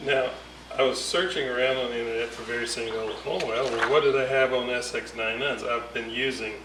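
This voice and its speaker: A man's voice: mid-range voice, fairly even intonation, a little fast. A man giving a casual, unscripted technical explanation as a hobbyist restorer, thinking aloud hesitantly in broken phrases. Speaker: hobbyist radio restorer presenting a repair series. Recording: roomy, distant mic, clear ambient noise.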